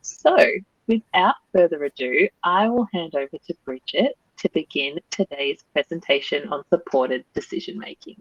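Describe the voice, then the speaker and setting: A woman's voice: deep voice, normal speed, breathy edge, no echo. A woman with an Australian accent hosting and introducing an online webinar, speaking in a welcoming way with frequent ums. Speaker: webinar host and general manager. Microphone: video call. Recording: dull, lo-fi video call audio.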